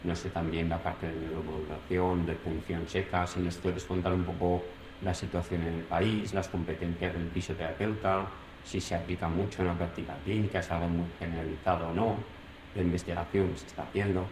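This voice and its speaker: A man's voice: deep voice, little pitch movement, brisk, reverberant, noisy background. A man from Spain hosting an interview podcast, asking long questions with lots of hesitant fillers. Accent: Spain Spanish. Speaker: podcast host.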